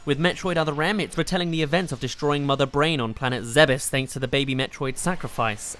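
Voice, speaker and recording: A narrator presenting a scripted countdown review with emphatic, expressive delivery. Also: medium pitch, slight background noise. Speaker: video game reviewer, host.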